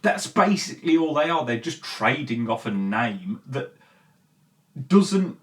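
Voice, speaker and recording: A man's voice: mid-range voice, sing-song, measured pace. A man hosting a commentary show, talking casually and bluntly in an exasperated, sweary way. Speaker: host of a film commentary show.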